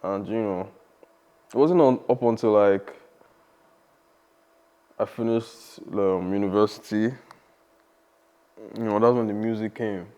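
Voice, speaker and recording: A young man, talking casually as a podcast guest in a relaxed, rambling way. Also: fairly low pitch, a little slow, pauses often, highs cut off. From Nigeria. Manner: relaxed, rambling, many fillers and restarts.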